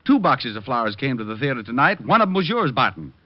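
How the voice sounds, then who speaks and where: Fast-talking hardboiled radio detective man. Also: mid-range voice, sing-song, no echo. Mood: stern, accusing. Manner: hardboiled, clipped. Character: brisk, wry male private detective, tough and sure. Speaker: lead actor and narrator. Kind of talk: old-time radio crime drama acting, first-person narration. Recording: dull old radio recording, music behind.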